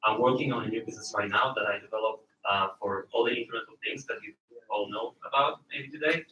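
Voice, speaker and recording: Israeli-accented man, bright and distant. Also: on the low side, quick pace, highs cut off. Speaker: networking event attendee. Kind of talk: self-introduction to a group. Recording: roomy, distant, faint noise.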